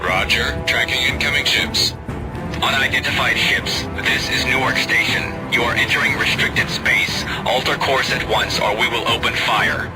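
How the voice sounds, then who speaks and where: An actor playing a stern, official space station controller in a video game, speaking in clipped radio procedure. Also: male voice, medium pitch, moderate pace, over loud music, natural voice, poor audio. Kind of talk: video game cutscene acting.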